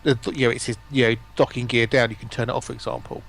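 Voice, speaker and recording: A man's voice: mid-range voice, flat delivery, fast talker, vocal fry, fairly bright tone. A man chatting and bantering casually as a guest on a gaming livestream.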